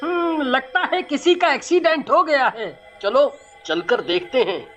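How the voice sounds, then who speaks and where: A woman acts out a dismissive grown man in a cartoon tale. The voice is mid-pitched and lively in melody, matter-of-fact, with faint music behind. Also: unhurried. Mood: curious. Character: adult brother, a dismissive family man, in a cartoon.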